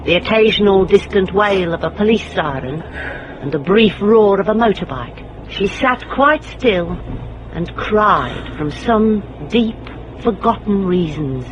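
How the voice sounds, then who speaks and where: A woman's voice: medium pitch, expressive, slow pace, muffled recording, slight background noise. An actress playing a shrewd female private investigator in a radio drama, speaking and narrating in a wry, controlled, pointed way. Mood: wry, reflective. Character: shrewd, steely female private investigator, quietly morally indignant. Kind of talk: radio drama acting and first-person narration.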